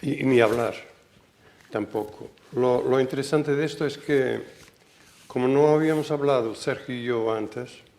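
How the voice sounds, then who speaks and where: An older man from Portugal, a Portuguese native speaker with a Portuguese accent, giving a public talk as a guest architect, halting and self-correcting, with wry humor. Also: medium pitch, darkish tone. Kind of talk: public talk, reading a prepared text. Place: lecture hall.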